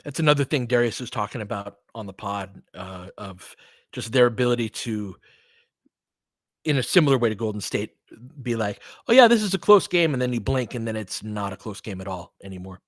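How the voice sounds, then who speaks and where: A man's voice: lowish voice, expressive, fairly quick, dry recording, clean studio sound. A man giving live basketball play-by-play mixed with casual, long-winded analysis in a conversational, explanatory way.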